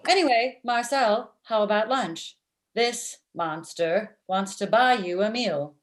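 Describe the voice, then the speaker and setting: Woman as robot matchmaker, stilted literal phrasing, blunt, secretly smitten, mid pitch, comedy table read. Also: takes it slowly, close to an everyday voice. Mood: deadpan, chatty. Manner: stilted, uncontracted, machine-like phrasing. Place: indoors on a video call.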